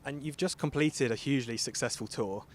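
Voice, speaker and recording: A man's voice: medium pitch, fairly quick, slight background noise. A man interviewing celebrities for radio in an upbeat, conversational style.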